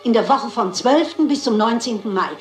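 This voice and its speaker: Woman as elderly lady detective, high voice, brisk and assured, crime radio play, roomy sound with music. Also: expressive, lightly acted. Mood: brisk, determined.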